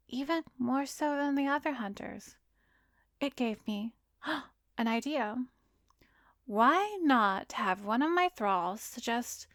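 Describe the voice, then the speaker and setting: Slow, breathy vampiress hypnotist, acted by a woman. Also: fairly high pitch, fairly bright tone, no room sound, studio quality, exaggerated voice. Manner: slow, breathy, commanding, drifting into whispers. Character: arrogant, seductive vampiress hypnotist, smug and cruel. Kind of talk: ASMR roleplay, hypnotic scripted monologue.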